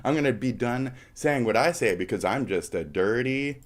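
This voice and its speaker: A man's voice: medium pitch, expressive, normal speed, noisy background. A man hosting a live stream, stuffy from a snotty nose, in a profane, rambling talk that slides into half-rapped riffs. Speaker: streamer host. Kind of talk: casual live-stream rant.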